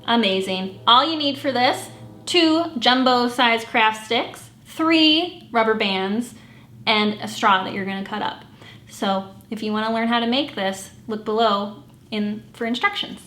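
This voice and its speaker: A woman hosting a children's story time, reading and singing picture books in an animated way and acting out the sounds. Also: a little high, a little slow, roomy sound. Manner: animated, acts out animal sounds and song refrains. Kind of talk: sung picture-book read-along for children.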